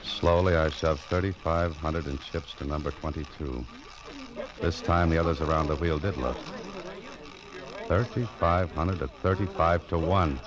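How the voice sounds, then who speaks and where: An actor playing a world-weary, wry American private detective in a deadpan radio noir style. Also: male voice, rumbling low, measured pace, crowd noise. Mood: wry suspense. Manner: dry, deadpan, wisecracking. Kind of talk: 1940s-50s radio noir narration and dialogue. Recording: muffled vintage radio recording.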